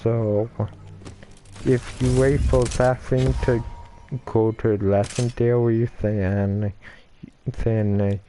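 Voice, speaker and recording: A man giving casual gameplay commentary in a halting, stuttering way, with many restarts and fillers. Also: mid-range voice, level tone, warm tone, slight background noise.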